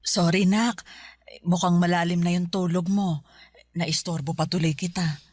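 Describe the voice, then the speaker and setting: An actress with a Filipino accent playing a caring middle-aged mother who gently urges, in a Tagalog radio drama. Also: fairly low pitch, fairly even intonation, measured pace, slight background noise, natural voice. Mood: gentle, apologetic.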